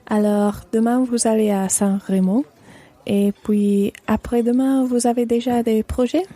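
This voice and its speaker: A woman's voice: fairly high pitch, steady tone, measured pace, warm tone. A woman playing a chatty, inquisitive French mother with new friends in a scripted language-course radio play. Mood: friendly, curious. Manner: conversational, questioning.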